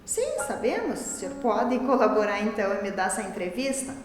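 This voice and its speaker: A woman's voice: medium pitch, big pitch swings, fairly quick. A woman in her forties from southern Brazil, with that region's accent, recounting stories in an interview. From Rio Grande do Sul, southern Brazil. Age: 40s. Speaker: adult student interviewee. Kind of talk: storytelling in interview. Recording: very echoey hall, music underneath.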